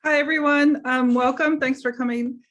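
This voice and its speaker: A woman's voice: high-pitched, steady tone, moderate pace, a bit bright. Upbeat woman welcoming students on a video call. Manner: enthusiastic, upbeat.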